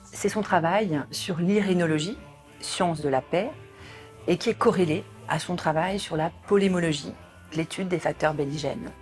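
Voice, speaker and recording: A woman in her late forties from southern France, a philosophy teacher speaking in a thoughtful, measured way. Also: lowish voice, a little mellow, faint music behind. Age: 40s. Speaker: philosophy teacher, podcast host. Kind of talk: calm spoken portrait.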